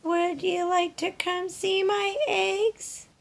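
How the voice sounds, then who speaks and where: Woman voicing a high-pitched eager mother hen. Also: flat delivery, takes it slowly, vocal fry, heavily acted. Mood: eager, friendly. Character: eager mother hen, hopeful, easily saddened.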